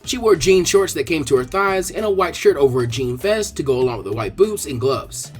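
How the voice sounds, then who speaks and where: A man reading fan fiction aloud in expressive scripted narration. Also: on the high side, brisk, breathy edge, soft background music.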